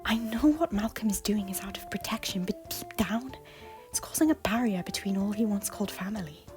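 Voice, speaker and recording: A woman's voice: medium pitch, fairly even intonation, a little fast, music in the background, close to an everyday voice. A woman playing a widowed medieval queen. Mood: hushed. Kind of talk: fan audio drama acting.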